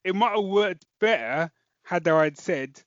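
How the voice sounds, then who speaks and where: A man's voice: high-pitched, expressive, unhurried, no room sound, dull audio. A middle-aged British man co-hosting a podcast review in an informal, rambling, conversational way. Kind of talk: casual chat podcast review.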